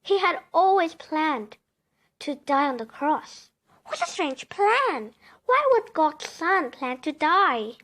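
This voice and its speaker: A woman's voice: high voice, big pitch swings, takes it slowly, a bit bright. A female reader narrating a children's bedtime story aloud.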